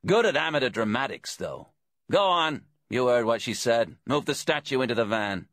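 A man's voice: mid-range voice, sing-song, normal speed, fairly bright tone, no room sound, studio quality, pitched above the performer's own voice. A man voicing a smug, cynical, corrupt police inspector with a casual, taunting manner in a crime audiobook. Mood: cheerfully taunting.

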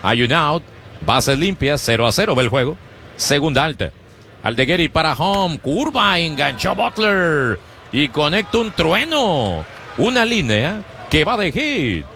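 A man calls a baseball game on the radio, pitch by pitch, with a lively voice that climbs and drops as the action moves. His voice sits a little high, and a faint hum of noise runs behind him. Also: measured pace. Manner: animated, rhythmic pitch-by-pitch calling. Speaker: play-by-play announcer. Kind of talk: Spanish-language baseball radio commentary.

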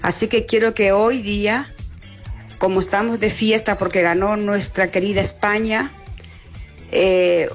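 A woman's voice: medium pitch, steady tone, unhurried, faint music behind. A woman with a coastal Ecuadorian accent, a radio listener casually sending greetings and requests.

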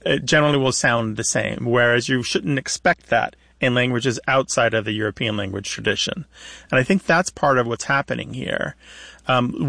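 Creaky-voiced male radio host, dry studio. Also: mid-range voice, a bit bright, no room sound. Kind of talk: conversational call-in hosting, explanatory.

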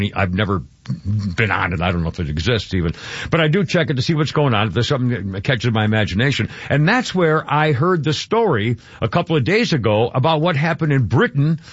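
A man's voice: fairly high pitch, no room sound. A man hosting a talk radio show, rambling with many restarts and fillers in casual opinionated chat. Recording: dull lo-fi radio broadcast, dry.

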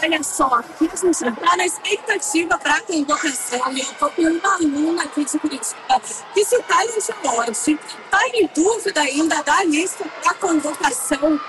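A woman's voice: extremely high pitch, moderate pace, noisy background. A woman reporting live from the field, upbeat and conversational with the people around her.

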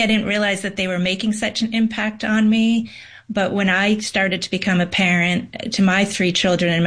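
A thoughtful middle-aged woman from Massachusetts answers a panel question in a reflective, conversational way. She has a slightly low voice and stops for small ums as she gathers her thoughts. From Massachusetts, USA. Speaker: panel guest, garden club board member.

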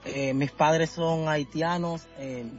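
A man from Venezuela talking casually as a guest in a radio interview, halting and often searching for his words. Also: a little high, fairly even intonation, lo-fi sound, faint music behind. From Caracas, Venezuela. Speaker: musician being interviewed.

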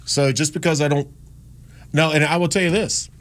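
A man's voice: on the high side, fairly even intonation, normal speed, a bit bright, a little hiss or hum. A man from North Carolina hosting a radio show, talking in a rambling, chatty way with frequent restarts and no strong accent. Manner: chatty, rambling, self-correcting. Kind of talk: casual on-air talk.

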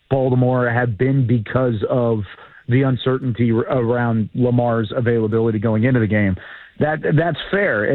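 A man with a big booming announcer's voice, calling in to break down a football game. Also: dry recording. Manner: booming announcer voice, talks in long runs. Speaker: guest, former team broadcaster. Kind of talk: casual sports analysis on a call. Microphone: phone call. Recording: tinny phone line.

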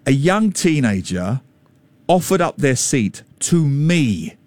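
A man in his fifties hosting a radio talk show, telling stories in a chatty, jokey way with a slightly loud voice. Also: mid-range voice, slow pace, no room sound. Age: 50s. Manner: chatty, jokey, animated storytelling. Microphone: studio microphone.

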